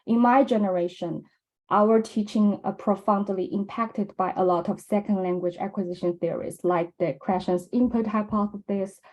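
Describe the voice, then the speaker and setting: A young woman from mainland China with a Chinese accent, giving a measured academic conference presentation with frequent ums and uhs. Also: medium pitch, steady tone, darkish tone, low-quality recording. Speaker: presenter at a research symposium.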